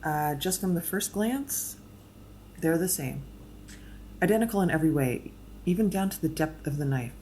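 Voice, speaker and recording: An actress playing a clinical, dry-humored medical examiner briefing a detective. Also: female voice, low voice, lively intonation, moderate pace, a little mellow, a little hiss or hum. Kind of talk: audio drama acting.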